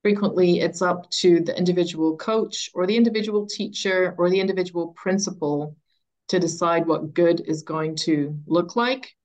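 A woman with a voice a little lower than average, talking as an expert guest in an interview. She explains ideas deliberately and conversationally, in measured phrases. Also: fairly even intonation, darkish tone. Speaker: interview guest, education author and coach.

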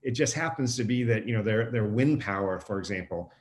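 A man's voice: on the low side, animated, a little fast, slight background noise. An American man answering as a guest expert, explaining things in a casual, conversational way.

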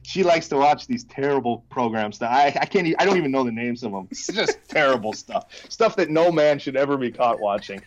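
A man's voice: mid-range voice, animated, brisk, hardly any pauses, highs cut off. A man in his thirties, a podcast guest chatting casually and laughing at himself. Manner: chatty, self-deprecating, laughs often. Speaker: podcast guest, case company founder.

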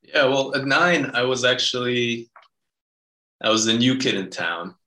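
Podcast guest, man, late 20s, Israeli-born, Russian first language; bright tone, roomy sound. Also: mid-range voice, unhurried. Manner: relaxed storytelling with many fillers. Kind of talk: casual interview.

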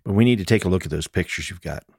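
A man's voice: deep voice, racing, vocal fry, a little mellow, breathy edge, no room sound, clean studio sound, full character voice. A man voicing a tough but caring female police detective, matter-of-fact and dryly humorous, in a mystery audiobook. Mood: dry, amused.

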